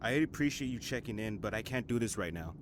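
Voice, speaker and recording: Actor as a curt, hurting son, noisy backdrop. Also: male voice, fairly low pitch, animated, fast talker, natural voice. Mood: guarded, dismissive. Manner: curt, withdrawn. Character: hurting, guarded son, a born-in hitman. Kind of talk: dramatic audio drama acting.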